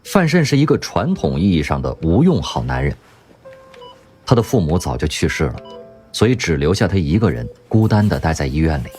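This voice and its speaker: A man's voice: deep voice, big pitch swings, fairly quick, a little mellow, soft background music. A man narrating a radio drama in a storytelling manner, with wry asides. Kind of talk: scripted audiobook-style narration.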